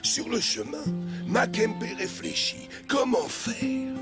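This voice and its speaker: A man's voice: very high-pitched, sing-song, slow pace, exaggerated voice. An actor playing a kindly old male village storyteller in a cartoon, patient and theatrical in his telling. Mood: dramatic storytelling. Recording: music behind the voice. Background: music.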